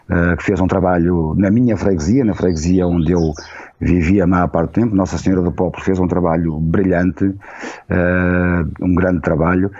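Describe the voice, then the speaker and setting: A middle-aged man with a European Portuguese accent speaks in a deliberate, halting way, full of fillers and restarts. His voice sits low and mellow. The sound is muffled and lo-fi. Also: vocal fry. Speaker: guest, former radio journalist. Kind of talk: casual interview talk.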